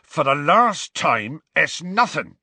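A man voicing a huge, gruff, soft-hearted man who blusters in blunt rustic dialect for a storybook. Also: medium pitch, big pitch swings, moderate pace, no echo, pitched above the performer's own voice, exaggerated voice. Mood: dismissive, firm.

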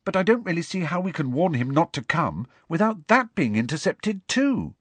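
Man voicing an anxious, high-pitched schoolgirl. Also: big pitch swings, moderate pace, husky, dry recording. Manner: anxious, earnest. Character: anxious, earnest, bookish teenage schoolgirl.

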